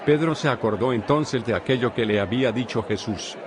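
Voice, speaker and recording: A man narrating a dramatized scripture reading in a steady, formal storytelling manner. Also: medium pitch, a little fast, a crowd in the background, noisy background.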